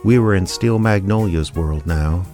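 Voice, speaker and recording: A reluctant small-town sleuth in a hardboiled radio drama. His voice sits low and his delivery is dry, wry and sarcastic. Faint music plays behind him. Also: unhurried, warm tone. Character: Snow, sardonic, reluctant small-town unofficial investigator and narrator. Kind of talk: hardboiled detective radio drama narration and dialogue.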